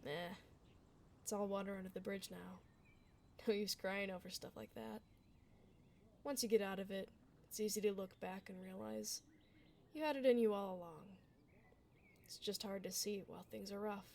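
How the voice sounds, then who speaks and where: A woman's voice: medium pitch, steady tone, moderate pace, creaky, pauses often, darkish tone, natural voice. A woman playing a blunt, kind tomboy dullahan adventurer in a casual, reassuring fantasy ASMR roleplay. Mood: gruffly reassuring. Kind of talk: ASMR fantasy roleplay, close conversational acting.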